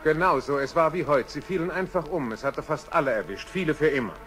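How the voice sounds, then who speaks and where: A man's voice: fairly high pitch, fast, music in the background, close to an everyday voice. A man as a thoughtful older animal, roomy echo. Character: older male animal, thoughtful storytelling adviser. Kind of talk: children's radio play narration and acting.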